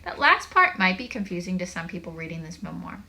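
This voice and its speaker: Bright-voiced woman reading a children's book. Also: lowish voice, fairly quick, gravelly, a little hiss or hum. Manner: animated, chatty asides to young listeners. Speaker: teacher reading a book aloud. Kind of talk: expressive children's read-aloud with asides.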